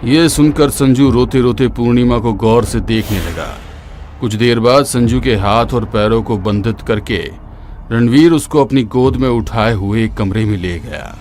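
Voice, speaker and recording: A man narrating a horror story in a steady, dramatic way, dropping lower at the grim moments. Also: measured pace, a little hiss or hum. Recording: narration over loud background music.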